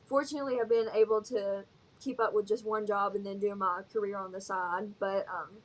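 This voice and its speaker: A woman's voice: mid-range voice, fairly even intonation, fairly quick, rough recording. Bubbly young Southern woman, giggly. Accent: Southern American. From Alabama, US South. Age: young adult. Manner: bubbly, giggly, many fillers. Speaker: singer-songwriter guest. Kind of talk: casual interview chat.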